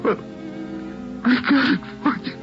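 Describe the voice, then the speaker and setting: An actor in a 1940s radio drama playing a distraught, grief-stricken father, halting and anguished. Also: over music, lightly acted. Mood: broken, grieving denial. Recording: dull 1940s radio recording.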